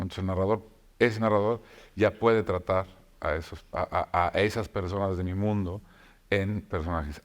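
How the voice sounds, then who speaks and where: A man, a novelist, talking reflectively about writing, with frequent pauses and restarts. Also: low-pitched. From Mexico. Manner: reflective, many filler hesitations and restarts. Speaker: novelist guest. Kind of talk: conversational talk show discussion.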